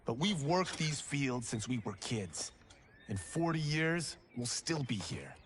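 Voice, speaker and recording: Restless, animated young farmer. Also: male voice, medium pitch, measured pace, a little hiss or hum. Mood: wistful longing. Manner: earnest, animated. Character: restless young farmer dreaming of glory, playfully cocky. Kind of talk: naturalistic video game acting.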